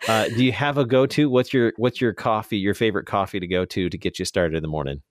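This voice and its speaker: A man's voice: on the low side, fast, dry recording, studio quality. A man hosting a radio show, speaking in a conversational interview style.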